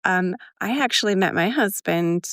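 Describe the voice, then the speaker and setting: A woman's voice: medium pitch, moderate pace, fairly bright tone, no echo, clean studio sound. A woman co-hosting a podcast, joining the chat casually and with playful remarks. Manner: playful, chiming in.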